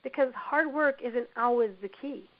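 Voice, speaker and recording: A woman with a hoarse voice from a cold, presenting a workplace training webinar in an encouraging manner, often saying "you know". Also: high voice, normal speed. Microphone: computer mic on a webinar call. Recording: dull lo-fi web audio.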